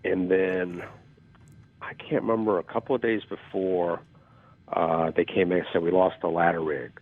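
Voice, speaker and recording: Raspy low-voiced man on a phone line. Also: steady tone, moderate pace, no room sound. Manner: rambling, self-deprecating, with filler ums. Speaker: actor guest. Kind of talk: casual interview storytelling. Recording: phone-like call audio.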